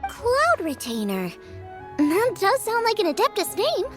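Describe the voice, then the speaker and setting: Very high, bubbly young girl cook. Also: huge pitch swings, unhurried, soft background music, heavily acted. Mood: puzzled, surprised. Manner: bubbly, informal. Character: perky, friendly teenage girl cook. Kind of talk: anime-style video game voice acting.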